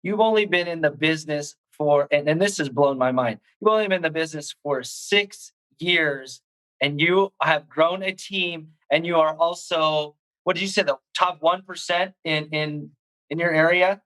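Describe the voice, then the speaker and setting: A man hosting a podcast, energetic and hype-filled, often stumbling and restarting his sentences. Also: on the high side, moderate pace, rough recording. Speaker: podcast host. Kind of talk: hyped show host intro and interview.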